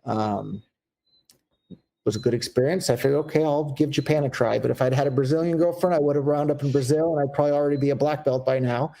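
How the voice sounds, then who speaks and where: A man's voice: mid-range voice, fast, vocal fry, mellow. A man with an American accent, talking candidly as an interview guest, rambling a little with fillers and restarts. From United States. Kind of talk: casual interview talk.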